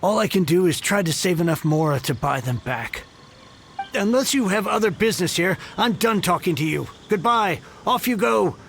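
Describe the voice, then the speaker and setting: Grouchy, gravelly old bookworm. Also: male voice, sits high, lively intonation, normal speed, fairly bright tone, faint music behind, heavily acted. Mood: dismissive. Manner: theatrical, irritable ranting. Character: grouchy, aggrieved older male book collector, ruined and melodramatic. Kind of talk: video game voice acting.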